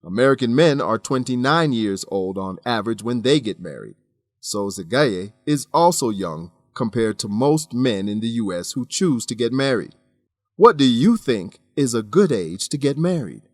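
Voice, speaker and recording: A male teacher-host reads a scripted language lesson in a medium-pitched voice. He is measured and instructive, enunciating clearly, with a very dry, close studio sound. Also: sing-song, darkish tone, no room sound. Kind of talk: scripted educational narration.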